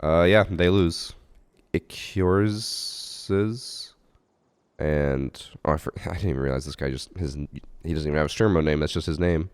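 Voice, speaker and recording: A hoarse man with a cough, casually analyzing gameplay clips as a gaming channel host. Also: a bass voice, a little slow, dry recording. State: hoarse from a cough, losing his voice. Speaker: gaming channel host reviewing submitted matches. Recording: game gunfire behind the voice.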